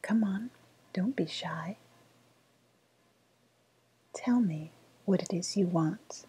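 A woman playing a sly woodland witch in an ASMR roleplay, speaking in a soft, breathy whisper with a deliberate, coaxing manner. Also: lowish voice, heavily acted. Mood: coaxing, knowing. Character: sly woodland witch, dealing in dark love magic.

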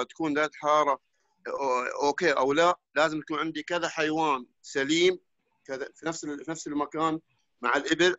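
A man's voice: on the high side, bright, forceful tone, dry recording. A man calling in to an online lecture, asking a question and searching for his words. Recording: dry, lo-fi online call audio.

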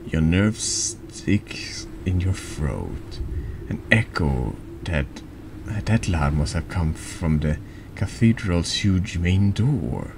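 A man with a deep voice reading horror narration slowly and haltingly in an ASMR style, over background noise. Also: creaky. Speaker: vtuber reading a text game aloud.

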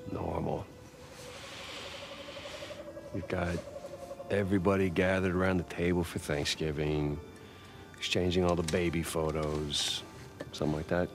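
Game role: driven, sardonic American treasure hunter, middle-aged, very low gravelly register, theatrical streak, scored with music. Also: male voice. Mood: relaxed, reflective. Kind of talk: naturalistic cinematic game acting.

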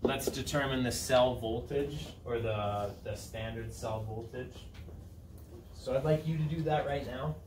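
A man's voice: mid-range voice, measured pace. A man in his thirties teaching a class in an informal, conversational way, checking in with students as he goes. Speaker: high school chemistry teacher. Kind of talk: classroom lesson, asking students questions. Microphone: camera set back in the room. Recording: roomy, distant camera mic, room noise.

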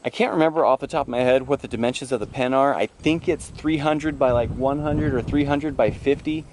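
Male vlogger, average pitch, informal and unscripted explainer style, handheld outdoor recording with slight background noise. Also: fairly quick, darkish tone. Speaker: farm tour vlogger. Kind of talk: casual off-the-cuff walking tour narration. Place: outdoors in farm pens. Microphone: handheld action camera at arm's length. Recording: outdoor camera audio with noise and clicks.

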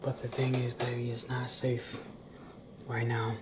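A young man performing an intimate ASMR roleplay as a restless, tender werewolf, speaking in a hushed, halting, often whispered way. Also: mid-range voice, monotone. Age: young adult. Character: young male werewolf confiding in his partner, restless, tender. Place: small indoor room. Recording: roomy echo, clear background noise.

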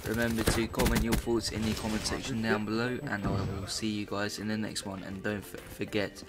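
A man's voice: on the low side, monotone, brisk. Rambling young British gamer guy. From Britain. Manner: rambling, hesitant, many fillers. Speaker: gaming channel host. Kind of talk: casual chat over gameplay footage. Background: loud game music and gunfire.

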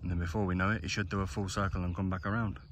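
A man's voice: deep voice, flat delivery, rapid-fire. A man in his thirties talking casually to his viewers as a vlogger, in an informal, chatty, repetitive way. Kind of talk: casual vlog commentary to viewers. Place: outdoors in open countryside and inside a car. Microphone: phone camera. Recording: noisy outdoor and in-car phone recording.

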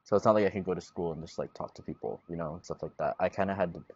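A man in his twenties, a guest in a relaxed outdoor panel talk, explaining at length and gesturing as he speaks. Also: low voice, racing, husky, no echo, muffled recording. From Guam. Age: 20s. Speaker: guest, Chamorro language learner. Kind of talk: casual panel conversation. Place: outdoors at a table in a leafy garden. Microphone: lapel mic.

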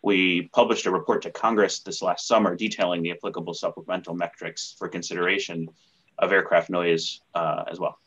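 A man's voice: low voice, flat delivery, fairly quick, fairly bright tone, breathy edge. A man, an agency expert, giving formal panel answers over a slightly dull video-call connection. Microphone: computer video-call microphone.

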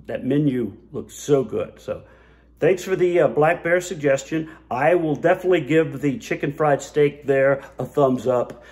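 A middle-aged man talking to his phone camera held at arm's length. His pitch sits in the middle of the male range, and he talks with chatty enthusiasm. He stumbles and restarts as he goes. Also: a little mellow. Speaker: food vlogger. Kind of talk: casual food review vlog. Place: diner, then outdoors by a road, then home kitchen.

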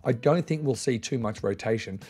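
A man's voice: mid-range voice, fairly quick, a little mellow, slightly rough. Upbeat man hosting a fantasy football video. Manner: upbeat, direct, addresses viewers. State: recovering from losing his voice. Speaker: fantasy football channel host. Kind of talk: solo video commentary to camera.

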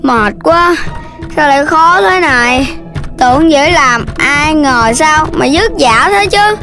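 A woman's voice: animated, heavily acted. A woman voicing a boastful, big-bellied young animal in a children's cartoon, with a childlike, whiny voice. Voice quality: high, childlike. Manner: boastful, whiny when tired, drawn-out. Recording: music playing behind the voice.

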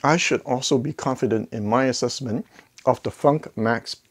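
A man's voice: medium pitch, normal speed, slightly rough. A middle-aged man hosting a casual gear review to camera, conversational with jokey asides and rhetorical questions. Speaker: audio gear reviewer, video host. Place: indoor home listening room. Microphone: clip-on lapel mic.

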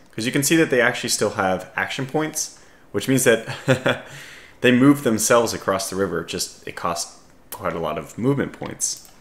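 A man with a scratchy voice giving casual strategy game commentary, calmly thinking aloud as he analyses his options. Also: fairly low pitch, echoey room. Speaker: gaming video host.

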